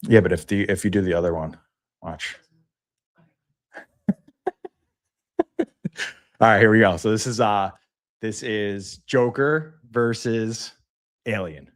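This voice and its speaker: Low-voiced man hosting sports talk. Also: slow pace, pauses often. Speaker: sports talk show host. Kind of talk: casual live sports commentary to an audience.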